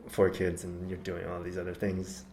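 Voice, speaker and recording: A man with a low voice talks through his ideas in an unhurried, reflective way, circling back and rephrasing as he goes. The room around him gives a noticeable echo. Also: monotone, warm tone, a little hiss or hum. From United States. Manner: thoughtful, measured, with frequent ums and self-corrections. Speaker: podcast guest. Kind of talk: casual conversation. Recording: roomy echo.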